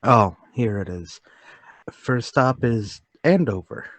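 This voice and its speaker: A man playing a timid, polite, secretly excited lodger in a radio-play table read, speaking hesitantly and repeating himself. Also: medium pitch, slow, no echo. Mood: quietly excited.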